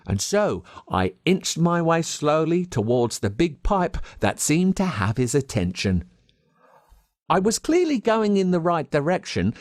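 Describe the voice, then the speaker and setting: An Australian man reading a scripted children's story as narrator, with dramatic, expressive delivery. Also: medium pitch, no room sound, clean studio sound. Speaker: audiobook narrator.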